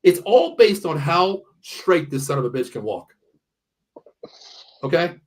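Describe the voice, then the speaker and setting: A man's voice: fairly high pitch, very animated, a little slow, slight background noise, low-quality recording. An American man in his early fifties hosting a casual sports show, talking bluntly and often repeating himself. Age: early 50s. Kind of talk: casual sports banter with ad reads.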